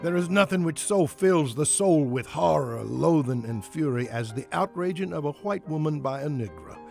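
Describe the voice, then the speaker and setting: 1890s segregationist editor, very creaky gravelly voice, vehement, declamatory, documentary reading, music underneath. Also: male voice, fairly high pitch, lively intonation, moderate pace, a little mellow. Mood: grim, contemptuous. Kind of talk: dramatic reading of historical quotation.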